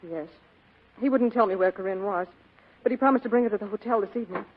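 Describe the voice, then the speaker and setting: Anxious, quick-talking young woman played by an actress, old radio. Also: medium pitch, slight background noise, natural voice. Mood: distressed, pleading. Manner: breathlessly hesitant, earnest. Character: anxious, pleading young female client. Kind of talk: 1940s radio drama acting. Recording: tinny band-limited vintage radio broadcast.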